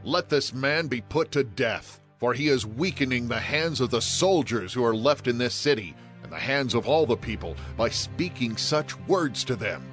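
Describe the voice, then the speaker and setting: An actor playing an accusing, indignant ancient royal official in a dramatized scripture reading. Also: male voice, mid-range voice, animated, normal speed, few pauses, a bit bright, faint music behind, lightly acted.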